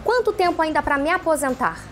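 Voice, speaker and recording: A woman TV host reading out viewer questions in the first person, in a plain, steady manner. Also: high-pitched, fairly quick, soft background music.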